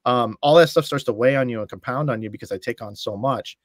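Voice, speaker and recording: A middle-aged man talking over a video call from a room at home, with a dry, close sound. He builds long stories and slips into acted-out lines of dialogue, often restarting his phrases. His voice sits at an ordinary pitch for a man. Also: fast, dry recording. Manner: storytelling, acting out quoted dialogue, restarts phrases. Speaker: guest, bowling stream commentator. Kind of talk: casual podcast chat.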